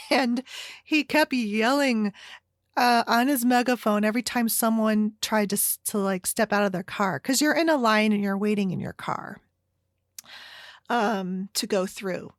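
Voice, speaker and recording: A middle-aged woman with an American Midwestern accent chatting casually and haltingly as a solo podcast host, meandering through her stories. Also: medium pitch, dry recording, studio quality. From Chicago area, United States. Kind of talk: casual solo chit-chat.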